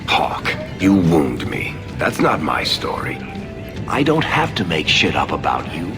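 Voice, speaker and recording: An actor playing a wry, roguish tavern gossip in a video game, conversational and teasing. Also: male voice, extremely low pitch, wildly swooping pitch, a little slow, exaggerated voice. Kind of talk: video game dialogue acting. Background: game music.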